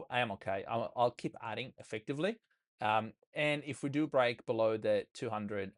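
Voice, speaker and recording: A man with an Australian accent, hoarse and losing his voice, walking viewers through trades in a loose live session full of fillers and restarts. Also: mid-range voice. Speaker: trading educator. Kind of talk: live trading session walkthrough.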